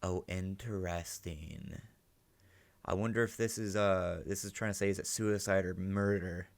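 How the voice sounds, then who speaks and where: A man's voice: low-pitched, steady tone, a little slow, vocal fry, no echo. A man giving casual reaction commentary as host, thinking aloud, swearing now and then and checking in with "right?". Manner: ends statements with "right?" as a check.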